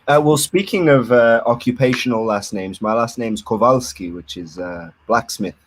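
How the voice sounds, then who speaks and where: A man's voice: mid-range voice, normal speed. A man with a Manchester accent chatting on a podcast, brief and matter-of-fact. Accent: Manchester English. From Manchester, England. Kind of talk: casual chat.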